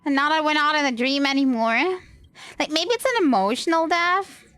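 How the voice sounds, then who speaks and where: A woman's voice: high voice, expressive, normal speed, fairly bright tone, no echo. A woman livestreaming a game in a casual way, musing aloud and chatting to the characters.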